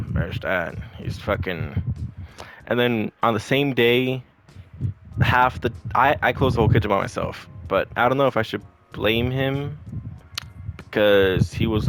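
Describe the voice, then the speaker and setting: A man's voice: lowish voice, a little hiss or hum. A young man venting about his boss in a rambling, profane storytime, talking to a camera at home. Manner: profane, rambling, animated retelling. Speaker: vlogger.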